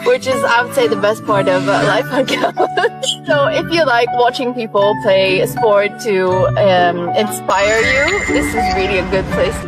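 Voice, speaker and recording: A woman's voice: medium pitch, a little slow, over loud music, low-quality recording. A young woman student giving a casual testimonial. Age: young adult.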